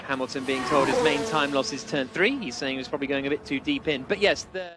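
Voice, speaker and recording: A man's voice: medium pitch, fast, noisy background. A man working as lead commentator, calling a motorsport session live on radio.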